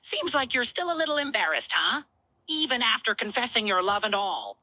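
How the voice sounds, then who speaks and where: A woman's voice: a little high, very animated, normal speed, sharp tone, dry recording, pitched above the performer's own voice, exaggerated voice. A woman playing a small male alien commander, smug and teasing but affectionate, in a fan fiction dub. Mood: tender, smitten. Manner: teasing, smitten, tender.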